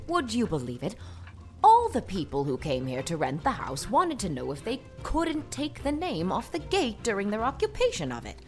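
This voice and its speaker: A woman playing a proud, brisk old spinster lady in a dramatized audiobook, speaking in an emphatic, decisive way. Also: mid-range voice, wildly swooping pitch, a little mellow, soft background music. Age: older. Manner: emphatic, decisive, plain-spoken.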